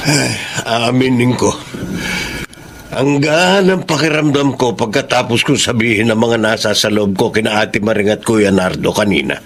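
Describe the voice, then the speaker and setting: A devoted, middle-aged husband and father in a Filipino radio drama, acted by a man. He speaks with earnest sentiment in a mid-pitched voice, over faint noise and ambience. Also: normal speed, a bit bright, lightly acted. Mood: earnest, relieved.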